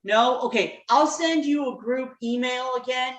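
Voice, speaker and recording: A woman running an online meeting over a video call, speaking slowly. She talks in a chatty, halting way, full of ums and restarts. Her voice has a roomy echo. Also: sits high. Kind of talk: casual video-call facilitation.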